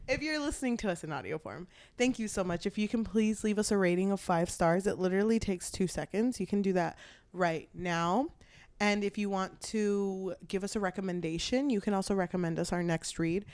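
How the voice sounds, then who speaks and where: A woman's voice: medium pitch, brisk, a little mellow. A woman co-hosting a book podcast in casual conversation, informal and playful, with slangy phrasing. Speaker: podcast co-host.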